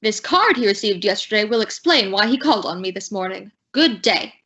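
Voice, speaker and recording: A woman's voice: medium pitch, expressive, fairly quick, bright, forceful tone. A woman playing a stern, affronted school official, curt and formal, in an old-time radio comedy. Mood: indignant. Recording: dull, lo-fi audio.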